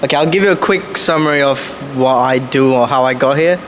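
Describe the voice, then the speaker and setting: A man's voice: mid-range voice, fairly quick, noisy background. A man from Sri Lanka chatting casually and earnestly on a podcast, with slangy speech. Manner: earnest, slangy, says "bro" and "you know". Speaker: podcast guest. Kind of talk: casual podcast chat, storytelling.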